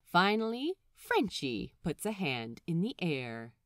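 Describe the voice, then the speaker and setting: A woman reading a story aloud as narrator, in an expressive, scripted storytelling style. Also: lowish voice, takes it slowly, no echo, clean studio sound.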